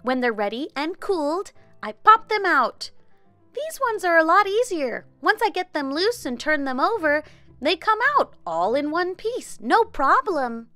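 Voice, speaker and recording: Animated, high-pitched woman narrating for kids. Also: unhurried, dry recording. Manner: animated, expressive, child-friendly delivery. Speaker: host of a children's craft and science show. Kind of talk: scripted craft experiment narration for children. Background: background music.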